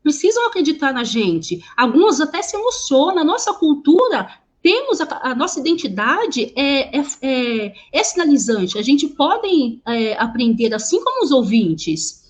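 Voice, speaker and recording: Woman, sign language interpreter, higher voice, halting, restarts, video call. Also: very animated. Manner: halting, many restarts and repeated words. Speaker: sign language interpreter voicing a deaf teacher. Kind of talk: simultaneous interpretation into speech.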